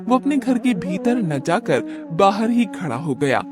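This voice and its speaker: A male storyteller narrates a cartoon moral tale. His voice is fairly high and moves in a lively, animated way, with music playing clearly behind the scripted narration. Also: measured pace.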